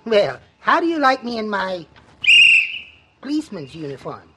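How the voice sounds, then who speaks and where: A man's voice: sits high, sing-song, moderate pace. An actor playing a meek, prim little man in old-time radio comedy, hesitant, stammering and apologetic. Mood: sheepish. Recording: noisy old radio recording with clicks.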